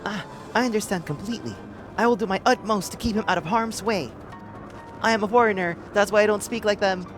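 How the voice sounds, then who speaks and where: A player puts on an overly formal servant voice and trips over the names. The pitch sits in a middle range. Game music plays clearly behind. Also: fairly quick. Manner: exaggerated mock-formal, stumbling over names. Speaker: streamer in a game party. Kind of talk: voicing a polite servant with a fake accent.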